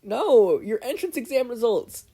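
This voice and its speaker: A performer voicing an excitable, loud mother of a teenage boy in a story reading. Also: medium pitch, unhurried, breathy edge, voice pushed up, exaggerated voice. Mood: thrilled, breathless.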